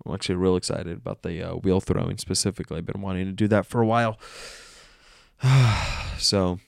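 A man's voice: sits low, fairly even intonation, a little fast, creaky, no room sound, studio quality. A man hosting a casual solo podcast, congested and nasal from illness, rambling and self-aware. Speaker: podcast host.

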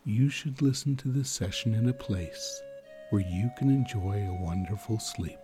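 A man narrating a guided sleep meditation in a soothing manner, leaving long pauses. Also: lowish voice, mellow, faint music behind.

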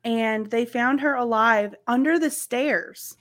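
A woman's voice: a little high, slow pace, fairly bright tone. A woman from the American South co-hosting a podcast, chatting casually and laughing often as she tells stories. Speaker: podcast co-host. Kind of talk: casual chat.

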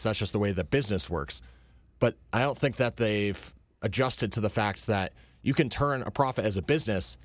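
A man co-hosting a radio talk show, explaining his points at length in a measured way. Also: fairly low pitch, dry recording. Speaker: radio co-host.

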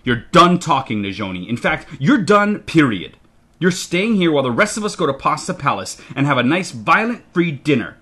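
A man's voice: fairly low pitch, animated, a little slow, long pauses. An angry father played by a male narrator lays down the law to his child in an audiobook. He is stern and mortified, seething through clenched teeth and leaning hard on his words. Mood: angry, ashamed. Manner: seething, emphatic, hissing through gritted teeth. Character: stern, enraged, embarrassed father scolding his daughter. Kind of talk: audiobook character dialogue.

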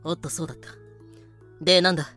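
A man playing a greedy, excitable privateer captain in a comedic voice drama, in a comically dramatic manner. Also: a little high, fast, faint music behind. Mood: gleeful greed.

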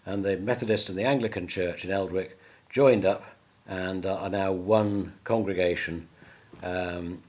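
A man acting as interviewer in an informal church conversation, explaining things in a slightly halting way. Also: sits low, a little mellow.

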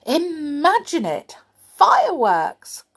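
A woman voicing Cheery One, an eager little villager from a storybook, with bright excited speech. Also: mid-range voice, measured pace, breathy edge.

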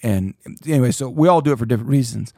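A man hosting a casual church podcast, talking with animated, preachy emphasis and reading scripture aloud. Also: mid-range voice, very fast, dry recording, studio quality. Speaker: pastor and podcast host.

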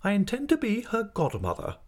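A man's voice: high voice, wildly swooping pitch, fairly quick, pitched above the performer's own voice, heavily acted. A man voicing a brusque, imperious older woman in an audiobook, speaking in emphatic, peremptory declarations. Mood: commanding. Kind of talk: audiobook character voice.

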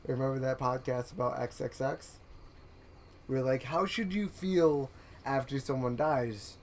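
A man's voice: medium pitch, measured pace. A young man co-hosting a podcast, talking in a rambling, earnest way with frequent restarts and laughs. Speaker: podcast co-host. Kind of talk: casual chat.

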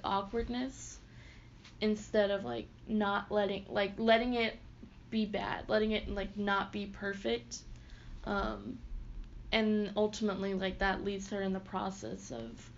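A woman's voice: medium pitch, unhurried. Young woman, interviewer, hesitant with many fillers, dull lo-fi recording, faint background noise. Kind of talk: casual interview questions.

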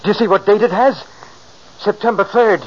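An actor playing a wounded, doom-haunted man in a 1940s radio drama, with a strained, creaky, halting delivery. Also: sits high. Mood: fearful, agitated. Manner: halting, fraught, melodramatic. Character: wounded, doom-haunted man facing his foretold death. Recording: muffled, noisy old radio recording.

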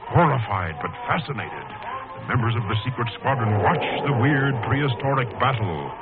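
A man's voice: medium pitch, big pitch swings, normal speed. A man narrating a 1940s radio adventure serial in a theatrical, suspenseful, emphatic style. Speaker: announcer-narrator. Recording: tinny, band-limited old radio broadcast, music.

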